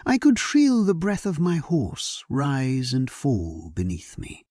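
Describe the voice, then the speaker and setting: A man giving a solemn, measured and theatrical first-person narration of a scripted dramatic sleep story. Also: mid-range voice, huge pitch swings, a little mellow, no room sound, clean studio sound.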